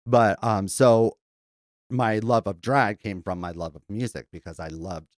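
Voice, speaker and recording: A man with a low bass singer's voice, chatting casually as a podcast guest in an effusive, campy, rambling way. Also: fairly even intonation, unhurried, no room sound, studio quality. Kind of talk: casual chat, personal storytelling.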